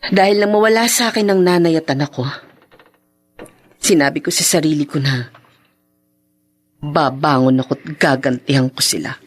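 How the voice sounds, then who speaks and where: A woman's voice: low voice, moderate pace, pauses often, soft background music. An actress playing a bold, bitter, seductive woman out for revenge in a radio drama, with a Filipino accent. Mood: resolute, bitter. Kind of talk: Tagalog radio drama acting.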